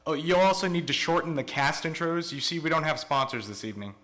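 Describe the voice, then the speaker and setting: Man playing a bright-voiced, hesitant radio writer. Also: a little high, lively intonation, fast talker. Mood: nervous, evasive. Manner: hesitant, apologetic. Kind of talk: old-time radio comic banter.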